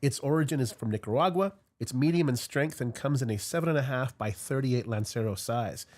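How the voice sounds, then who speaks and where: A man's voice: medium pitch, brisk, a little mellow. A congested man hosting a casual cigar review stream, chatty and rambling. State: congested from a cold. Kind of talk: casual livestream chat.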